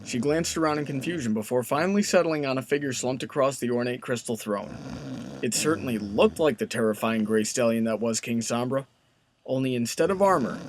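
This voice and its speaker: A man's voice: mid-range voice, slight background noise. A man reading scripted story narration as the narrator.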